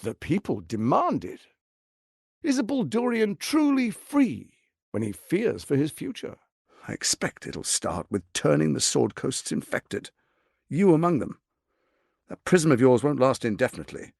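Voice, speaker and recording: A smug villain lord for a game, slow creaky delivery, pompous and menacing. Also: male voice, on the high side, huge pitch swings, a little mellow, no room sound, clean studio sound, heavily acted. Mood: calculating. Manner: pompous, silky, menacing, theatrically emphatic. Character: scheming, silver-tongued tyrant lord, smug and theatrical. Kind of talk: dramatic video game voice acting.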